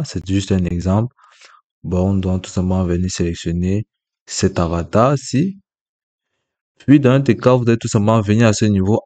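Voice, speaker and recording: A man hosting a software tutorial, narrating each step on screen, repeating himself and correcting small slips as he goes. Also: low-pitched. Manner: repetitive, with frequent self-corrections. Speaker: tutorial host.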